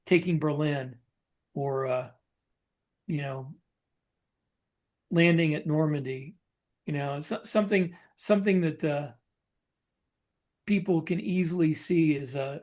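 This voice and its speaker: Higher-pitched man, halting explainer. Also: a little mellow. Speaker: podcast co-host and novelist. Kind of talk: casual discussion of writing military science fiction.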